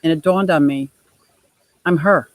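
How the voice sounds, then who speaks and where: A woman in her sixties with a deep voice, hosting a casual livestream, reading viewer comments and laughing often in a gushing, playful way. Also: measured pace, no room sound. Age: 60s. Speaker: livestream host.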